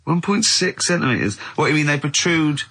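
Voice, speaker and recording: A man co-hosting a radio show in casual comic banter, excitable and giggly. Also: fairly high pitch, a little fast, slightly rough.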